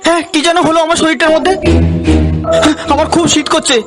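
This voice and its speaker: A bewildered cartoon chatterbox, voiced by a man, talks fast in an extremely high pitch. Loud music runs behind the voice. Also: flat delivery. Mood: musing. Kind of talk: cartoon dubbing, thinking aloud.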